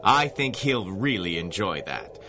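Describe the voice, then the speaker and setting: Folksy male radio-drama cop: unhurried, animated intonation, genial and easygoing. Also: low voice, background noise. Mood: genial, chatty. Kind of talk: 1930s-style radio drama acting.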